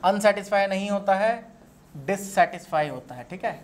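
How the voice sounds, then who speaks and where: A man's voice: high-pitched, a little fast. A man with an Indian accent teaching English grammar in an informal lecture, explaining with example sentences and repeating key points. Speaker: English grammar teacher at an exam coaching class.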